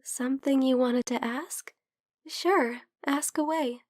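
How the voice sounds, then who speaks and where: A woman playing a gentle, teasing female vampire friend who is unwell, speaking softly and hesitantly in an ASMR roleplay. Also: high voice, fairly even intonation, slow, no echo, studio quality. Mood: playful teasing. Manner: soft, hesitant, playfully teasing. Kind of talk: soft-spoken ASMR roleplay.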